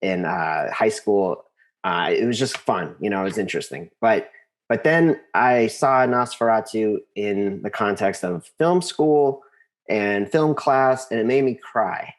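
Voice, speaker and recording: A man being interviewed, telling his story conversationally and haltingly, repeating words as he thinks. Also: on the low side. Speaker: interview guest, composer.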